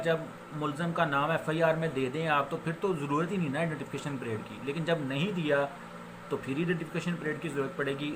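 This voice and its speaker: A man with a Pakistani accent giving an informal law lecture, explaining with examples and switching into English legal phrases, which he reads slowly and haltingly. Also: fairly high pitch. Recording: noticeable background noise.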